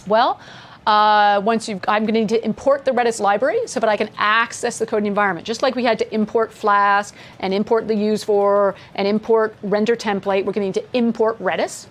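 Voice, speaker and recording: A woman's voice: medium pitch, fairly even intonation, fairly quick. A woman casually walking through code as an instructor, chatty and upbeat, laughing along with her co-host. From mother from Scotland. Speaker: coding instructor. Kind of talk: casual screen-share coding lesson.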